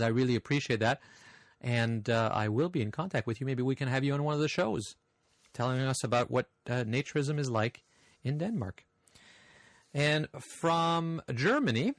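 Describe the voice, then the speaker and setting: A man's voice: mid-range voice, no room sound. Brief: man from Canada, slight French accent, hosting a podcast in a dry, close recording. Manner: easygoing, friendly, with frequent "uh" pauses. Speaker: podcast host. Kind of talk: casual podcast chat reading listener mail.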